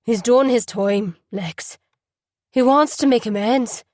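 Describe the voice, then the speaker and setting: Woman doing Irish older brother, lilting and needling, slow. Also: extremely high pitch, dry recording, studio quality. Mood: persuasive. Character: brooding Irish older brother, man in his thirties.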